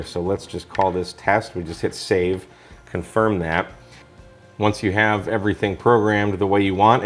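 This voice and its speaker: A man talking informally through a product review, explaining things step by step in a casual way. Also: low voice.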